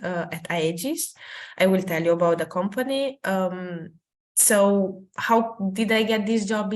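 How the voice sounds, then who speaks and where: A young woman from Romania, speaking English as a second language, giving an informal career talk over a video call with many fillers and restarts. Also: medium pitch. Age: young adult. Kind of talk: informal presentation with slides.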